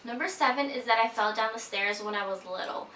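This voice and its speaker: A woman's voice: fairly high pitch, a little fast, pushed and bright, slight background noise. A woman in her twenties from Peru, a native Spanish speaker, chatting casually to her viewers in a sit-down vlog, with lots of "like" fillers and giggly asides. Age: 20s. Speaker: vlogger. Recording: roomy echo, voice a bit far from mic.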